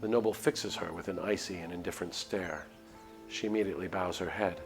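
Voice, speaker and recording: A man streaming a visual novel, reading it aloud as measured, expressive story narration. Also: low voice, low-quality recording. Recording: clear music behind voice. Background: background music.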